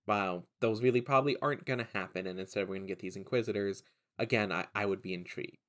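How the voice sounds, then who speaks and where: Stuffy gravelly-voiced man, lowish. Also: fast talker, a bit bright. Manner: opinionated, rambling with restarts. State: stuffy from a cold. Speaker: channel host. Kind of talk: casual hobby commentary.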